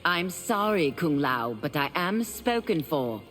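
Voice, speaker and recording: A woman's voice: sits low, very animated, takes it slowly, a bit bright, in a large hall, soft background music, exaggerated voice. An actress voicing a composed, teasing young woman warrior in a video game.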